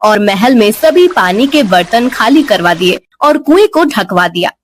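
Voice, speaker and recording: Animated, high-pitched woman storyteller. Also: a little slow, a little hiss or hum. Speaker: narrator. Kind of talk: scripted story narration.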